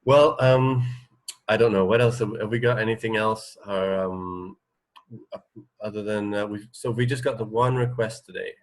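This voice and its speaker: A man's voice: lowish voice, moderate pace, poor audio. A man co-hosting a radio show, chatting casually in a hesitant, rambling way. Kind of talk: casual chat.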